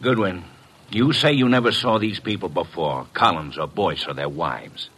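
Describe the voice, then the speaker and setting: Husky, stern police inspector. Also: male voice, low voice, moderate pace, fairly bright tone. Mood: stern, exasperated. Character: stern, exasperated police inspector, interrogating doggedly. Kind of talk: old-time radio drama acting.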